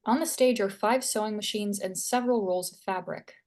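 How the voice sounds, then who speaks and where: A woman's voice: medium pitch, brisk, darkish tone. A woman narrating stage directions as scripted narration at a screenplay table read.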